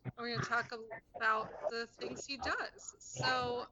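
A woman's voice: on the high side, fairly even intonation, a little slow. A woman hosting a podcast in a friendly, encouraging way, with some ums. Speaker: podcast host. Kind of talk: casual podcast chat. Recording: dull lo-fi sound with noise.

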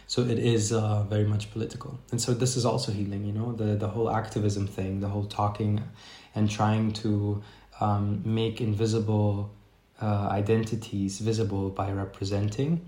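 Low-voiced man in a roomy space. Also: flat delivery, normal speed, mellow. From Germany. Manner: reflective, rambling with many fillers and restarts. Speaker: podcast guest, life coach. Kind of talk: casual interview chat.

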